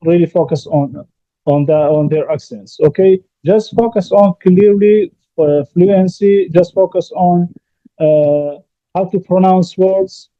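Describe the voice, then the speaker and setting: A man's voice: high-pitched, steady tone, takes it slowly, no echo, dull audio. A man, an English teacher, giving emphatic advice to learners in an interview, repeating his key points.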